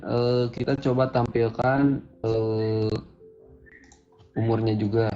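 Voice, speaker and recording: Low-voiced man walking through code. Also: creaky, dark tone. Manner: casual, thinks aloud while coding. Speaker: coding instructor. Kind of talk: screen-recorded programming tutorial. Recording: dull lo-fi audio with faint music.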